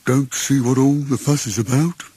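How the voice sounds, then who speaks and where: A man voicing a gloomy, self-pitying old donkey in a storybook, slow and drawn-out in a martyred, resigned way. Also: mid-range voice, fairly even intonation, mellow, breathy edge, dry recording, pitched above the performer's own voice, natural voice. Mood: grumbling.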